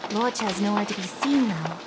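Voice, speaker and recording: An actress voicing a careful woman technician who talks in a polite, measured and cautious way, in a video game dub. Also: medium pitch, animated, heavy background noise, close to an everyday voice. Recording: game cutscene with music behind.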